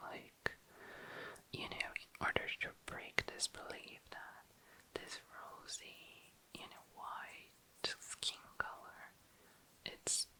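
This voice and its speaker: A woman's voice: pushed and bright, poor audio. A woman whispering breathily and casually in ASMR style, informal and self-deprecating, close to the microphone. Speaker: ASMR video creator. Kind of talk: whispered ASMR, casual rambling commentary while working.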